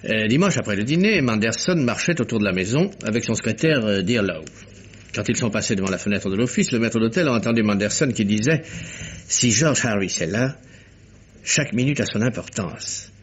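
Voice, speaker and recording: An actor playing a thoughtful, urbane detective, measured, ironic and courteous, in a vintage radio mystery. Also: male voice, fairly low pitch, expressive, a little hiss or hum, natural voice. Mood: explanatory. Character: thoughtful, wry male amateur detective, urbane and sharp. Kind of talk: mid-20th-century French radio mystery acting. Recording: muffled vintage radio recording.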